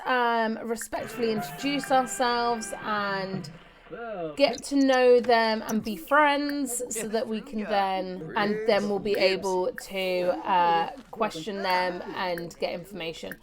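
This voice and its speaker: High-voiced woman giving chatty game commentary. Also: slow, music in the background. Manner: chatty, talks to herself while playing. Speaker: video game walkthrough host. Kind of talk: casual gameplay commentary mixed with reading on-screen text.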